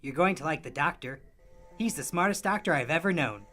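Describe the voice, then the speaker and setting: A dim, shifty alien catcher acted out by a woman in a comic sci-fi radio play. The voice sits low but jumps about animatedly, hesitant and evasive with a whiny edge. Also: expressive, brisk, a bit bright, soft background music. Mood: chatty, dim.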